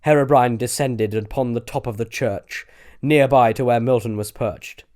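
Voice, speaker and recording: A man's voice: medium pitch, normal speed, darkish tone. A man narrating a scripted adventure story in a dramatic audiobook style.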